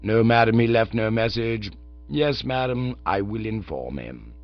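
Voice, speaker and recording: A man reading aloud and voicing a formal, unflappable middle-aged valet, low and measured. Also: animated, muffled recording. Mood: grave, deferential. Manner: deferential, slow, ends on a deep "sir".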